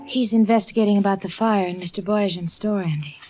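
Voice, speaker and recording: A woman's voice: mid-range voice, a little fast, over music, close to an everyday voice, low-quality recording. A woman playing an earnest, protective sister in a radio suspense style.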